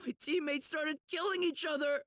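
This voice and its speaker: A man's voice: extremely high pitch, fairly even intonation, moderate pace, a bit bright, no echo, studio quality. A man voicing a desperate young demon-slayer soldier in an anime game, anxious and pleading. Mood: shaken. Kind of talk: anime game cutscene acting.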